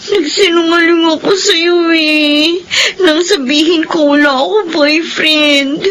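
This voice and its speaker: A sobbing young daughter, very high voice, slow tearful words, radio drama. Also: female voice, fairly even intonation, fairly bright tone, a little hiss or hum, heavily acted. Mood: anguished. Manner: tearful, pleading. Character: young daughter, pregnant and frightened.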